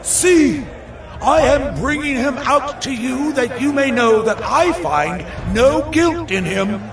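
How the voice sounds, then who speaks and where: An actor playing a commanding, exasperated Roman governor in a dramatized scripture reading, with declamatory delivery. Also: male voice, very high-pitched, lively intonation, slow, a crowd in the background, heavily acted. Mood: loud, insistent.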